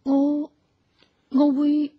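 A woman's voice: high-pitched, completely flat delivery, very slow, dull audio. A woman acting a troubled, dreamy young wife in a Hong Kong Cantonese radio drama. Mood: hesitant, uneasy. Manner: hesitant, distracted. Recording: reverberant, music clearly behind.

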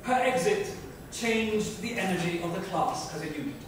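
A man's voice: high voice, normal speed. A middle-aged man reading a book aloud as dramatic scripted narration. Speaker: reader. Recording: echoey room, distant, noisy.

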